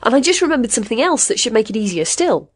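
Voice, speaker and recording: Woman as an excitable, high-pitched man. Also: quick pace, breathy edge. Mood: eager. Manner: emphatic, eager. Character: eager, excitable man recalling details.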